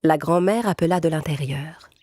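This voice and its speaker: Woman storyteller with a lowish voice. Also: normal speed, a little mellow. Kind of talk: scripted children's fairy tale narration. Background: music.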